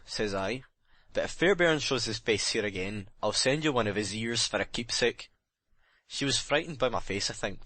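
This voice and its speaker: A man acts out a tormented sailor with a lowish voice in a very dry, close recording. He is bitter, jealous and haunted, confessing his crime with dramatic intensity, as in an audiobook. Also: fairly bright tone, no room sound. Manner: intense dramatic confession, plain working-man's phrasing.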